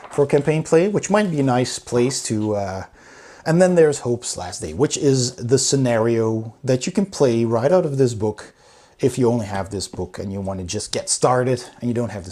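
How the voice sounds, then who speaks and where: A man's voice: mid-range voice, a little mellow. Enthusiastic man giving a casual review. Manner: enthusiastic, emphatic praise. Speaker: board game reviewer and channel host. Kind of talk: casual unscripted review talk to viewers.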